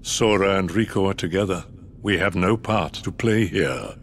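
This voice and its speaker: A man voicing a stern, aloof and wise advisor in a video game, grave and measured. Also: sits low, slight background noise. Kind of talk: video game cutscene acting.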